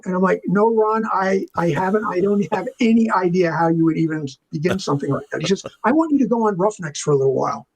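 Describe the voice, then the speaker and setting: A man's voice: sits high, quick pace, hardly any pauses, low-quality recording. An older man telling casual anecdotes as an interview guest, acting out the dialogue in colloquial language. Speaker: interview guest, veteran visual effects artist.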